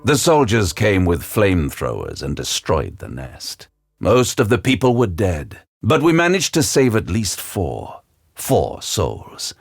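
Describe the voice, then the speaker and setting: A man's voice: low voice, wildly swooping pitch, measured pace, vocal fry, breathy edge, no room sound, exaggerated voice. An actor playing a grave, world-weary detective who narrates his case tersely in an audio drama. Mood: grave, somber. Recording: music behind the voice.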